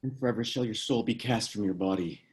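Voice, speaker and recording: A man playing an earnest, uneasy researcher of dark lore in a screenplay table read, explaining things hesitantly. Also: fairly low pitch, fast talker, a little hiss or hum, lightly acted. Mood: hesitant, grave.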